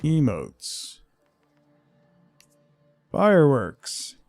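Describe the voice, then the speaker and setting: Guy streaming a game, chill slow pace, pitch jumps around, bit gravelly, playful little asides. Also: huge pitch swings, a little mellow, no room sound, faint music behind. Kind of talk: casual live game commentary, chatting to viewers.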